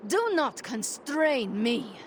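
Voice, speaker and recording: A woman's voice: a little high, sing-song, slow pace, slight background noise, full character voice. An actress playing a stern, impatient young sorceress mentor in a video game, formal and imperious in her speech. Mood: angry.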